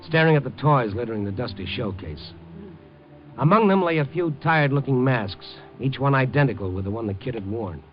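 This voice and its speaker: A man's voice: mid-range voice, natural voice. A man playing a wry, world-weary hard-boiled newspaper reporter in a 1950s radio noir drama, with clipped tough-guy delivery. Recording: dull 1950 radio recording with music.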